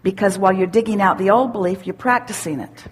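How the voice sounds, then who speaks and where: A woman giving spiritual self-help teaching to a questioner, assured and deliberate, repeating phrases for emphasis. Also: mid-range voice, breathy edge. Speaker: speaker leading a question-and-answer workshop.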